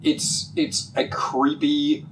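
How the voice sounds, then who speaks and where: A young man co-hosting a casual chat about a TV episode, animated and halting, full of "like" and restarts. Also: fairly high pitch, a little hiss or hum, rough recording. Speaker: podcast co-host.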